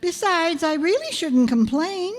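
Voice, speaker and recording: A woman acts out a wry young female English teacher in a radio comedy. Her voice is high and swings freely in pitch while the wisecracks stay dry. It rings out in a reverberant hall. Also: sing-song, unhurried, exaggerated voice. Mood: dry, joking. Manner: dry, sardonic delivery. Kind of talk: old-time radio comedy acting. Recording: echoey hall, live stage reading.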